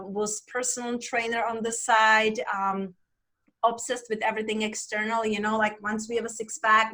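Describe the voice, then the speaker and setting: A woman's voice: a little high, fairly bright tone. Czech-accented woman, earnest and confessional. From Czech Republic. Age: 30s. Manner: earnest, confessional, many fillers. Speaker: guest, life coach. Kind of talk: candid interview storytelling.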